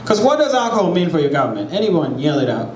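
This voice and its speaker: A young Ugandan man works a live crowd with a playful stand-up set, his voice a touch high. The room rings with echo, and the audience and brewery ambience sit clearly behind him. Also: big pitch swings, fast talker, a little mellow, slight background noise. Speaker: stand-up comedian. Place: brewery comedy show before a live audience.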